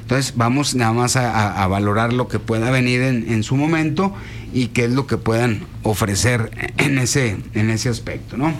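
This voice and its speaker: A man's voice: medium pitch, normal speed, a little hiss or hum. A man hosting a casual football talk show on the radio, rambling and restarting his phrases. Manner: rambling, restarts and repeats himself. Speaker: sports radio host.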